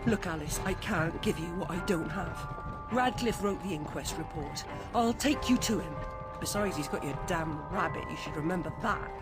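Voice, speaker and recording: An actress playing a hard-bitten Victorian streetwalker in a game, blunt and earthy with a London accent. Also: female voice, fairly low pitch, normal speed, loud music behind the voice, natural voice. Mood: exasperated. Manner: blunt, world-weary, earthy. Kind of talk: period game acting.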